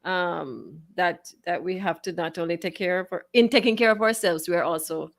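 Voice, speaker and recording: A woman co-hosting a casual chat, speaking in a measured way and often murmuring agreement. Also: lowish voice, gravelly. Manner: measured, gives lots of agreeing backchannels. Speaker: co-host.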